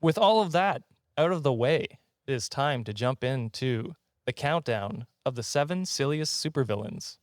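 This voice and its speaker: A man's voice: medium pitch, animated, normal speed, dry recording, clean studio sound. A man hosting a podcast countdown, telling stories in a casual comedic way with a self-deprecating, jokey manner and frequent laughing. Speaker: podcast host presenting a countdown.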